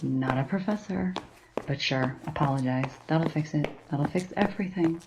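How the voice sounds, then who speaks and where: A woman's voice: low voice, moderate pace. A woman playing an exasperated, suspicious librarian in a fiction podcast, sardonic, sweary and grumbling. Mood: bitter muttering. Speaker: actor in an audio drama. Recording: dull lo-fi sound with clear noise.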